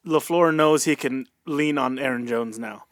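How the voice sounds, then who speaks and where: A man's voice: mid-range voice, unhurried, no room sound. A man on a podcast, musing casually about fantasy football, hesitant at the start of his points. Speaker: podcast guest.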